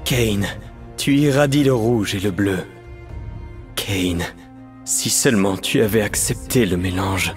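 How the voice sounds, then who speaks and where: Melancholic video game mage, solemn and poetic, fairly low voice, unhurried delivery, music underneath. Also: male voice. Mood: solemn, resolute. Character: brooding, melancholic male painter mage, torn between light and darkness. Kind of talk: scripted video game champion voice lines. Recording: music behind the voice.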